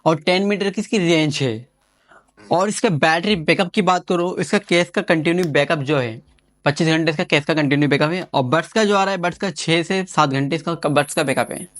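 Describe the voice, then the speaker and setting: A man reviews gadgets in a casual, chatty unboxing style. His voice sits a little high and the sound is fairly dry. He talks informally and with enthusiasm, circling back over his phrases. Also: brisk, a bit bright, dry recording.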